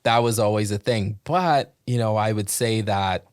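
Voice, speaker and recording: A man's voice: fairly low pitch, normal speed, no echo. A man from the Bahamas hosting a casual podcast chat, asking careful, hedged questions and telling stories with laughs. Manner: thoughtful, hedging questions, laughs through stories. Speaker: podcast host.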